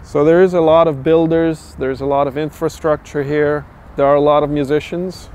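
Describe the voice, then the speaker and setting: A middle-aged man from Austria, a guitar builder talking thoughtfully and at a measured pace in a documentary interview, pausing often with "uh". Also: on the high side, fairly even intonation, darkish tone. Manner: thoughtful, measured, with frequent "uh" pauses. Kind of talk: documentary interview, reflective storytelling.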